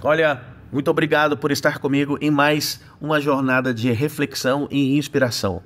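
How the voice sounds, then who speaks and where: A man's voice: a little high, fairly even intonation, a little fast. A man giving earnest, inspirational narration as a channel host, with rhetorical questions. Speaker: channel host, sales and leadership speaker. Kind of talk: scripted motivational narration. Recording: voice-over over loud music. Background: loud background music.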